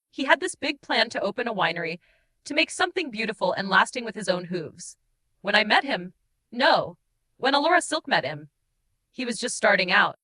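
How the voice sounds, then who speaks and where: Woman doing sad changeling girl audiobook, tender n guilty, bright voice, really expressive mournful storytelling. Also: mid-range voice, rough recording. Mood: tender, bittersweet. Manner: emotive, mournful storytelling with dramatic pauses. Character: heartbroken shapeshifting changeling woman, guilt-ridden, tender, wistful confessor. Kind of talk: dramatic first-person audiobook narration.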